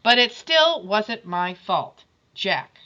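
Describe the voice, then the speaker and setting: A woman's voice: mid-range voice, very animated, takes it slowly, sharp tone, lo-fi sound, full character voice. A woman reading as a grudging young schoolboy. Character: grudging young schoolboy writing apology notes.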